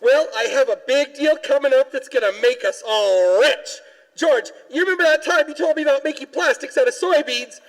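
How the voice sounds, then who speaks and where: A man's voice: very high voice, brisk. A man playing a breezy, boastful young businessman in a 1940s radio drama, hearty and pushy. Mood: eager, boastful. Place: large church, before an audience. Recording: live church performance, long hall reverb.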